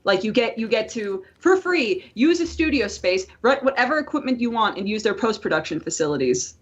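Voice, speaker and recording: A woman co-hosting a casual podcast, talking in an animated, playful way and swearing freely. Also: a little high, a little fast, husky. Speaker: podcast co-host. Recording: dull lo-fi voice-call audio.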